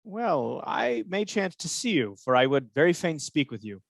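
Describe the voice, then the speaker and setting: A man's voice: fairly high pitch, very animated, moderate pace, dry recording. A man playing a scheming, manipulative villain in a Shakespearean radio drama, insinuating and goading in manner. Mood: urging. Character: scheming, manipulative male villain, a soldier's confidant.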